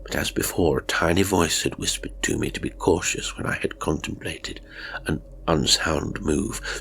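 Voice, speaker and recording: Deep-voiced man narrating horror. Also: a bit bright. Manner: measured, suspenseful, theatrical delivery. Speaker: audiobook narrator. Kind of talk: dramatic horror story narration.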